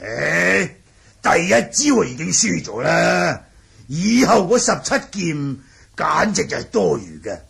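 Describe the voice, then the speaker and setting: A man acting a jovial, hard-drinking martial-arts lord in a Hong Kong Cantonese radio drama, gravelly and raspy, with a hearty, exclamatory manner. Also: fairly high pitch, big pitch swings, slow pace, a bit bright, heavily acted. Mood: admiring, jovial. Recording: 1980 radio drama recording.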